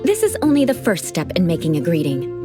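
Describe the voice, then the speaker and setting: A woman's voice: fairly low pitch, wildly swooping pitch, fast talker. An actress voicing a proud young aristocratic woman who speaks in formal, lofty, precise language, in a video game. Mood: explaining. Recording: game audio with music.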